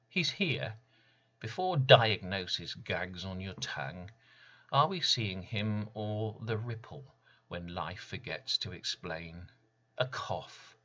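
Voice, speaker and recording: A man with a lowish, forceful voice recites poetry theatrically. He goes slowly, leaving pauses between phrases, and the sound is slightly dull. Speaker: poet reading own work.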